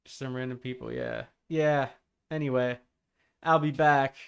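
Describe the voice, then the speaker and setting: A man chatting casually and rambling as he streams a video game, with an animated, amused manner. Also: mid-range voice, measured pace, creaky, smooth. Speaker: live streamer. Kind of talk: casual gaming stream chat. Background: video game music.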